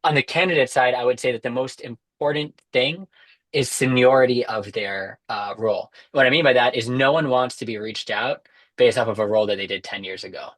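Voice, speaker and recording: Male, young adult, quick pace, mid-range, organized explainer, informal video-call delivery. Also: mid-range voice, a bit bright. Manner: structured, explanatory. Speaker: webinar guest, recruitment technology expert. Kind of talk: informal explanatory webinar talk. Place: indoors against a dark backdrop, on a video call. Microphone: wireless earbuds on a video call.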